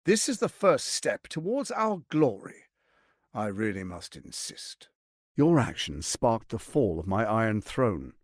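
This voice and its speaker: A male actor playing a suave, scheming, power-hungry lord in a video game, with a theatrical, measured, silky politician's delivery, ruthless and charming. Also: fairly low pitch, very animated, no room sound, clean studio sound. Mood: condescending, mocking, then menacing, stern. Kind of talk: dramatic video game voice acting.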